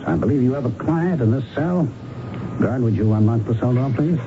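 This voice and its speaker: Male actor as weary investigator, American, calmly in command, very animated pitch, 1970s radio drama, noisy recording. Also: fairly quick, close to an everyday voice. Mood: wry, commanding. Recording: muffled, noisy old radio broadcast.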